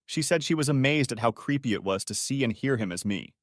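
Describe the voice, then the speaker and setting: A man's voice: medium pitch, brisk, no room sound, studio quality. A man narrating a first-person story in scripted storytelling style. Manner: expressive storytelling.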